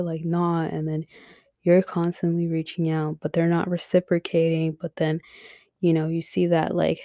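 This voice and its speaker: A young woman gives frank, swear-laced advice as a podcast host, often slipping into a whisper. Her voice is low and mellow, and it sounds worn as if she is losing it. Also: gravelly, no room sound, studio quality. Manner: frank, swears, many fillers, drops to a whisper. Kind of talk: casual advice monologue.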